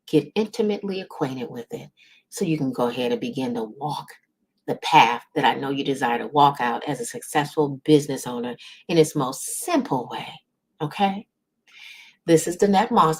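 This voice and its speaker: A woman hosting a motivational livestream as a business coach, speaking in an encouraging, emphatic, folksy and direct way. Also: low-pitched. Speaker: host, business strategist and coach.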